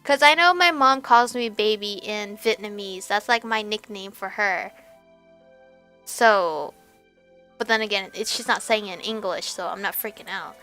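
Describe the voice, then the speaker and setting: A woman's voice: medium pitch, moderate pace, sharp tone. A young woman doing casual let's play commentary in a chatty, giggly way. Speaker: gaming video host. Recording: music behind the voice. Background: game music.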